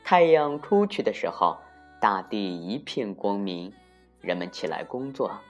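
Very low-voiced woman, animated storyteller. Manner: animated, deliberate, dramatic emphasis. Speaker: children's storyteller. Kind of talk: expressive children's folktale narration. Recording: muffled lo-fi recording with music.

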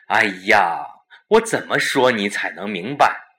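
A man's voice: on the low side, expressive, unhurried, fairly bright tone. A man playing a domineering, impatient older company-boss husband, overbearing and exasperated, in a Chinese audio drama. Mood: irritated.

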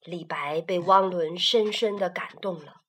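A woman narrating a story for children, slow and expressive. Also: fairly low pitch.

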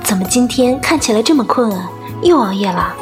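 A woman plays a teenage schoolgirl in an audio drama. She has a fairly high voice and a caring manner, with soft music behind. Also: animated, fairly quick, natural voice. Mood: concerned. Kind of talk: Chinese audio drama acting.

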